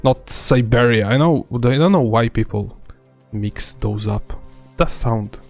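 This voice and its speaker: A man's voice: medium pitch, unhurried, soft background music. A young man from Serbia with a light Serbian accent, giving rambling live game commentary full of fillers. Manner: relaxed, rambling, many fillers. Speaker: game streamer.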